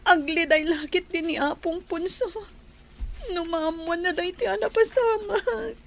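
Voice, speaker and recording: An anxious young woman in an Ilocano radio drama, with a very high voice. She pleads tearfully, fretting over a secret love. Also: steady tone, a little slow, vocal fry, heavily acted.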